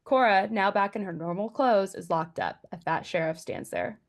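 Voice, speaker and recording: A woman's voice: mid-range voice, normal speed. A woman narrating stage directions from a script at a table read.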